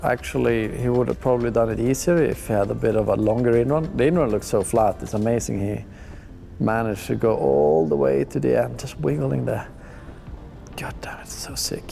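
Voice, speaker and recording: A man's voice: mid-range voice, normal speed, gravelly, dark tone, soft background music. A young man from Sweden, speaking, gives laid-back, slangy, enthusiastic commentary while reacting to ski clips. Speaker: pro skier.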